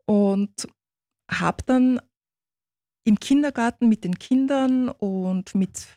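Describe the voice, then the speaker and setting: A woman from Turkey, a native Turkish speaker, is a guest author on a radio show. She explains things in a friendly, patient way and often confirms with a short agreeing word. Her voice is mellow and warm, recorded dry and close on a studio mic. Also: fairly high pitch, takes it slowly, no echo. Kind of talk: radio interview.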